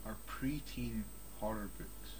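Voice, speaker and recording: A man's voice: on the low side, slow pace, a little mellow, rough recording. Sarcastic Scottish man, faint background noise. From Scotland. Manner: sarcastic, sweary. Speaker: co-host commentator. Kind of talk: casual mocking banter.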